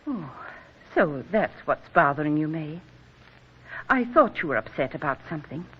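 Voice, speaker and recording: A woman playing a gentle, concerned, folksy rural mother in a 1940s radio drama, with a husky voice. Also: low-pitched, sing-song, moderate pace, exaggerated voice. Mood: sympathetic. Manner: folksy, consoling. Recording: muffled vintage radio recording.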